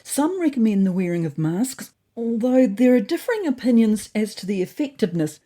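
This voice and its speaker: A woman acts out a puffed-up female cat who knows everything. She lectures precisely in a husky storybook voice, with a lively rise and fall. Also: fairly high pitch, fairly quick, voice pushed up. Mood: lecturing. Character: knowledgeable, know-it-all female cat friend.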